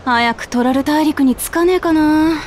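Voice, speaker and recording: An actress voicing a brash young catgirl adventurer who talks in a rough, boyish way in a game cutscene. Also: high voice, moderate pace, a little hiss or hum, lightly acted. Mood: restless. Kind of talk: Japanese game voice acting.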